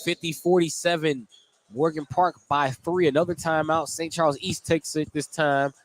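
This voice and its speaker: A man with a fairly high voice works as a basketball play-by-play announcer. He delivers live radio commentary, following the game as it happens. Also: steady tone, normal speed, rough recording. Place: courtside in a gymnasium.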